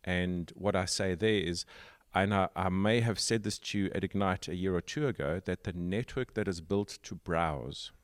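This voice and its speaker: A South African man hosts a casual tech podcast chat. His voice sits very low, and he takes his time explaining with fillers. The sound is dry and close, with no room around it. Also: smooth, dry recording. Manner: explains at length with pauses and fillers. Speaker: podcast host.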